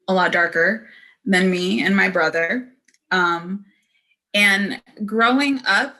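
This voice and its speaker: A woman panelist telling her own story in an earnest, halting way with frequent ums. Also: on the low side, pushed and bright.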